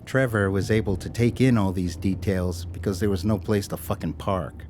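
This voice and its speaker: An actor as the narrator of an audio drama, telling a scripted story in a wry, sardonic way. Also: male voice, low-pitched, moderate pace, a little mellow, slight background noise, lightly acted. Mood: wry, measured.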